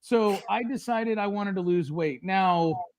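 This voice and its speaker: A middle-aged man hosting a casual live-stream chat, telling blunt, animated stories full of fillers. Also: high voice, measured pace, clean tone, no room sound. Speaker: host.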